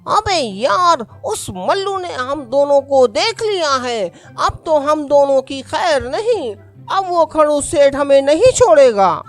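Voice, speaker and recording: A woman's voice: sits high, sing-song, faint music behind. A woman voicing a sly, cheeky, lazy male warehouse worker in a cartoon story, with a brash, scheming manner. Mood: worried.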